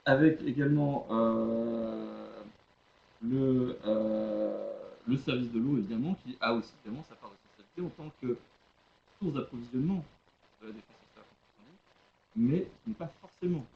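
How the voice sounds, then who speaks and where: Halting man presenting, gravelly, muffled lo-fi sound. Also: medium pitch. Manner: hesitant, halting delivery with rephrasing. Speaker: webinar presenter, legal expert. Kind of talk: explanatory webinar presentation. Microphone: web conference connection. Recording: dull lo-fi web conference audio.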